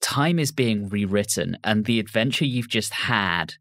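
Low-pitched man hosting, dry close sound. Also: lively intonation, a little slow, a bit bright, no room sound. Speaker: podcast host. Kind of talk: discussion of an audio drama.

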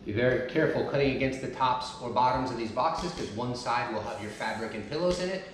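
A man's voice: mid-range voice, brisk. Practical DIY host, man, 30s, talking through each step as he works; roomy, echoing home recording with noise behind. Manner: practical step-by-step, talks while kneeling and lifting. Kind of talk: casual how-to instruction while working. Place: large carpeted family room at home. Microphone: camera mic from a distance. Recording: echoey room, some noise.

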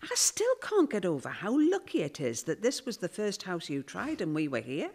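An actress playing a chatty, nosy middle-aged Northern English mum in an audio play. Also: female voice, on the low side, huge pitch swings, moderate pace, darkish tone, exaggerated voice. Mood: friendly, curious. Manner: gossipy, grumbling, uses endearments. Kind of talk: audio play performed on stage. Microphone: stand microphone.

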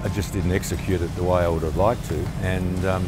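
An older Australian man remembers a big win in a plain, unhurried way. His voice sits low and even. He is recorded outdoors by a stadium field, with music clearly playing behind him. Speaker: guest, former player. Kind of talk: reminiscing interview.

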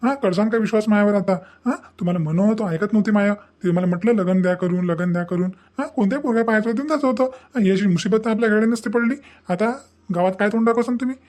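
Hot-headed rural Maharashtrian young man male actor, accusing, reproachful, very quick delivery, cartoon family drama. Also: high voice, a little mellow, lightly acted. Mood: angry, accusing. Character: angry, reproachful young brother scolding his parents. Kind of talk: Marathi comedy cartoon dialogue.

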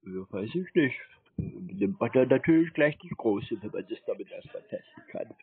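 A man making a prank phone call in a deadpan, naive manner. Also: medium pitch, normal speed, slightly rough, no echo. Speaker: prank caller, scam baiter. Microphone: telephone.

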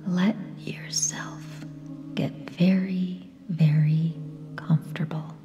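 Very slow, whispery woman guiding meditation. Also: deep voice, fairly even intonation, lo-fi sound. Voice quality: breathy, whispery, soft. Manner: gentle, unhurried, long pauses between short phrases. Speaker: yoga nidra guide. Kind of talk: guided meditation, slow and soothing. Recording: long reverb, soft music behind. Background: sound bath music.

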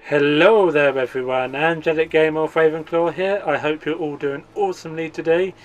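A man's voice: fairly high pitch, steady tone, normal speed, faint music behind. A man hosting a gaming channel, giving an earnest, upbeat channel update read as a voice-over.

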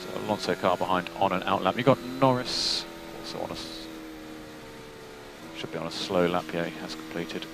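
Low-voiced male race engineer on noisy radio. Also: moderate pace, creaky. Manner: calm, clipped, businesslike. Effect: team radio. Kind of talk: terse, technical motorsport radio instructions. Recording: noisy team radio, engine noise behind.

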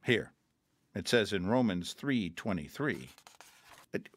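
An actor playing a patient mission counselor in a radio drama, talking calmly and earnestly and quoting scripture. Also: male voice, on the low side, slow pace, full character voice. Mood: gentle. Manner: calm, earnest, quotes scripture.

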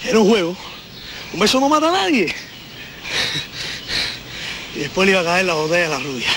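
An actor playing an easygoing young peacemaker with a Cuban accent, slangy and coaxing, in film dialogue. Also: male voice, high-pitched, very animated, a little fast. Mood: dismissive. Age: young adult. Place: outdoors in a wooded park. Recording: old film, noisy outdoor birdsong ambience.

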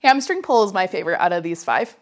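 A woman's voice: fairly low pitch, expressive, quick pace. A young woman in her twenties with a nasal, cold-stuffed voice, coaching a mat pilates workout while doing it herself, a little breathless, chatty and encouraging as she counts down. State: getting over a cold, slightly breathless from exercise. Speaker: pilates instructor. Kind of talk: guided workout instruction. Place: small home studio, indoors.

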